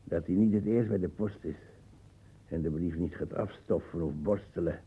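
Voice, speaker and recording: An actor playing a suspicious, cantankerous old colonial uncle with a gravelly, husky voice in a radio drama. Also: male voice, low voice, normal speed, warm tone, exaggerated voice, low-quality recording. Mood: bitter. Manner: brooding, cantankerous.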